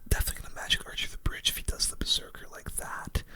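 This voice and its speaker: A young man whispering relaxed, informal ASMR commentary over a game, close to the microphone. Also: racing. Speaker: gaming video creator.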